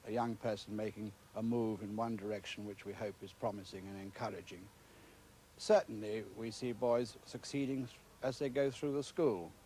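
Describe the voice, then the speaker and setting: A middle-aged British man giving measured interview answers, formal and careful, with hesitant phrasing. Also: lowish voice, a little mellow, poor audio. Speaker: school staff member interviewed. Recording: clear background noise on location.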